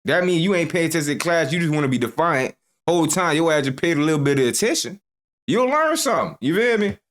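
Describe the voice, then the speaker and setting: A man riffs through loose, slangy reaction commentary, his fairly high voice swinging up and down. He jokes and asks the viewers if they feel him. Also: sing-song, fast, fairly bright tone. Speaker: reaction video host.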